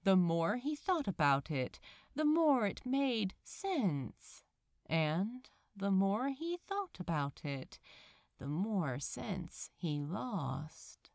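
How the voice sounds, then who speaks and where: A woman reading fiction aloud as a narrator, in an expressive, measured storytelling manner. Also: medium pitch, gravelly, no room sound, studio quality. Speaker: podfic narrator.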